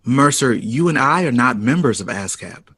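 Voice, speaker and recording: An actor playing a modest young composer in an audio drama, understated and unassuming. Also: male voice, mid-range voice, lively intonation, normal speed, fairly bright tone, breathy edge, no echo. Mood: earnest. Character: Billy Strayhorn, modest, soft-mannered young composer.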